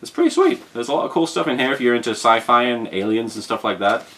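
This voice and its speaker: A man with a mid-pitched voice chats casually as he hosts an unboxing. He sounds enthusiastic and lets his thoughts ramble. Jokey asides are worked into his commentary. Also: expressive, quick pace, a bit bright. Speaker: unboxing show host.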